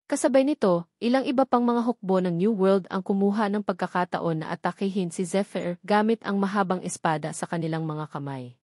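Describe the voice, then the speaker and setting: A woman reading scripted audiobook narration of an action novel in measured, formal sentences. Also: medium pitch, darkish tone, no room sound, studio quality.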